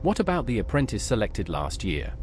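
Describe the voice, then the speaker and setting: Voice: medium pitch, wildly swooping pitch, a little fast, darkish tone, slight background noise. A performer voicing a plain-spoken, inquisitive adventurer in a video game, brief and direct. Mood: businesslike. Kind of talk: video game character dialogue.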